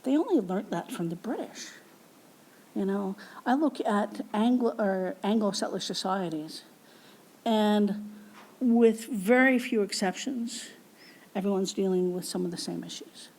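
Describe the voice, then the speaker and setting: A woman's voice: medium pitch, unhurried, darkish tone, poor audio. A woman giving an academic keynote lecture and answering audience questions in a plainspoken, informal way with frequent fillers.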